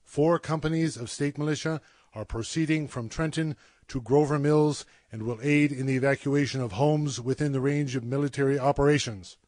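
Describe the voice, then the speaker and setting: Actor as stern general, dry studio sound. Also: male voice, medium pitch, fairly even intonation, normal speed, darkish tone, dry recording, close to an everyday voice. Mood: stern, official. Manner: formal, clipped, authoritative. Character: stern, official male army brigadier general. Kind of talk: 1930s radio drama official statement.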